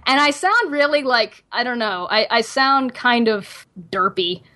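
A young woman co-hosting a casual podcast, hesitant with fillers, her pitch jumping up when she gets excited. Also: a little slow. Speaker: podcast co-host. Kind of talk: casual chat.

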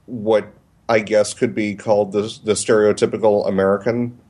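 Low-voiced, thoughtful male co-host. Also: little pitch movement, normal speed, a little mellow. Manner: hesitant, many restarts, thoughtful. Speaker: podcast co-host. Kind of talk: casual podcast chat.

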